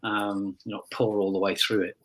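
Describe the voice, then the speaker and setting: A man's voice: lowish voice, little pitch movement, moderate pace, fairly bright tone. A man chatting casually on a remote call, rambling along with asides as a pantomime performer. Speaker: pantomime dame performer, panel guest. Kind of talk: casual chat over video call. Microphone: earpiece microphone on a remote call.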